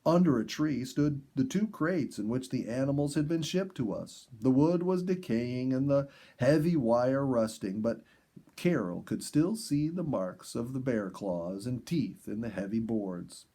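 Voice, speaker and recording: Male audiobook reader, mellow and slightly husky, lively pitch movement, storybook delivery. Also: a little slow. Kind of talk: expressive storybook narration.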